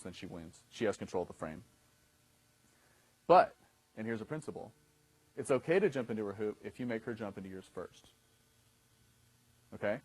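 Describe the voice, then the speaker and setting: Husky-voiced man in his thirties teaching. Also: lowish voice, no room sound, rough recording. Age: 30s. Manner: conversational, often checks in with "okay?". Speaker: dating coach instructor. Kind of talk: instructional talk to a class.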